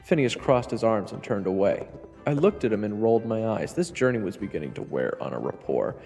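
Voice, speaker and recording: A man voices a young fantasy adventurer, wry and irritable, with a gravelly creak and lively intonation. His acting and narration sit in long reverb over music. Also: on the low side, brisk. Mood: weary narrating. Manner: wry, sarcastic. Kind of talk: fantasy audio roleplay acting and first-person narration.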